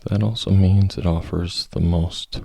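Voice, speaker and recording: A man leading a guided meditation, speaking in a calm, measured, gently instructive manner with long pauses. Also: low-pitched, gravelly, no room sound, clean studio sound.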